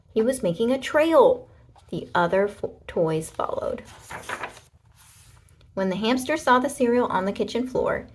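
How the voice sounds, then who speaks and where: A woman narrating a children's storybook aloud in an expressive way, with deliberate dramatic emphasis. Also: mid-range voice.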